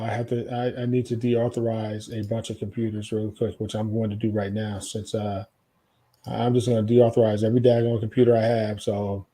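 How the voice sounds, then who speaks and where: American man, mid-pitched voice, casual podcast co-host, music talk, repetitive phrasing. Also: mellow. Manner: repeats his phrases.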